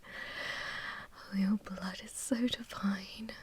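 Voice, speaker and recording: A woman performs a seductive female vampire warlord in a fantasy ASMR audio roleplay. She speaks slowly and languidly, mostly in an intimate whisper, with teasing menace and possessiveness. The sound is dry, with hardly any room to it. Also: mid-range voice, steady tone, husky, no echo, heavily acted. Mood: hungry, seductive.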